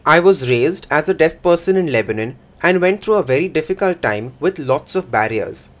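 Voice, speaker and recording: A man voicing a first-person interpretation for a signing speaker in a plain, measured way. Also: fairly high pitch, lively intonation.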